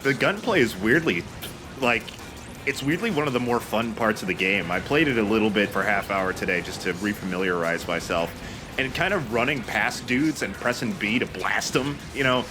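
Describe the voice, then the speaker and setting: Casting: male gaming co-commentator, deeper voice with lively swings, relaxed casual talk. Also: normal speed. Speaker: let's play co-commentator. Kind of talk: casual chat. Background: game music.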